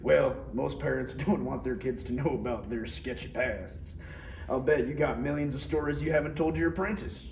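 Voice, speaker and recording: A man's voice: medium pitch, moderate pace, vocal fry, darkish tone, lightly acted. A man playing an easygoing, fatherly old cook with a folksy, reassuring manner, reading from a parody script. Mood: knowing. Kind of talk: live table read of a parody script. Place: room at a table read. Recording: roomy distant recording with faint noise.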